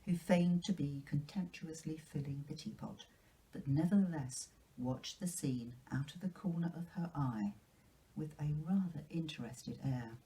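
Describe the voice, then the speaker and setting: A woman storyteller with a low, mellow voice. She narrates a novel for older children at an unhurried pace, shaping the phrases carefully and expressively. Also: poor audio. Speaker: librarian reading for children.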